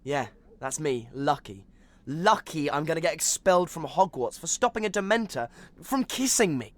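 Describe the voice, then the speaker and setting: A man's voice: on the high side, animated, moderate pace, natural voice. An actor playing a sullen, sarcastic teenage wizard boy who grumbles in a video game. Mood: resentful, sarcastic. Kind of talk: scripted video game cutscene acting.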